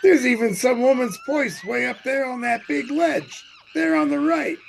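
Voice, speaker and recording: An actor playing a loud, dim, wisecracking man tourist in a 1940s-style radio comedy. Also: very high-pitched, vocal fry, a little hiss or hum, exaggerated voice. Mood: blustery, indignant. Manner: blustery, exclaiming, drawn-out delivery.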